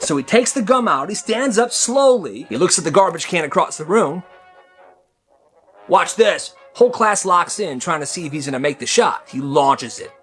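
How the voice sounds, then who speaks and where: Man, comedic short-video storyteller, high voice, very lively delivery, music underneath. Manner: exaggerated, acts out characters' lines.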